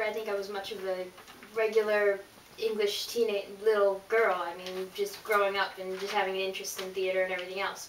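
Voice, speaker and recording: A woman's voice: mid-range voice, fairly bright tone, pitched below the performer's own voice, natural voice. A woman playing a young film actress answering earnestly in an improvised mock interview. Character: young English film actress reflecting earnestly on her career. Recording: roomy, distant mic, faint noise.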